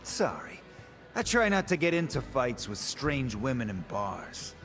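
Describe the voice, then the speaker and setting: Dismissive tavern swordsman, medium pitch, curt and mocking manner, game cinematic with prominent music. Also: big pitch swings, measured pace, fairly bright tone. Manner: sardonic, curt. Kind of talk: video game cutscene acting.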